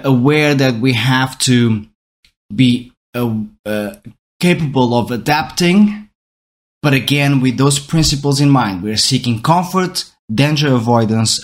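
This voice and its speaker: A man whose first language is Portuguese gives a reflective talk about how people learn. He thinks aloud as he goes, restarting phrases and checking in with rhetorical questions. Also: mid-range voice.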